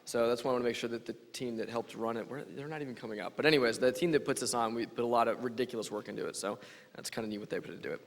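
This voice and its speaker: A man presenting his hacking contest on stage, talking fast in a casual, enthusiastic way. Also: mid-range voice, steady tone. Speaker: capture the flag organizer. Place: large conference hall. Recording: reverberant conference hall.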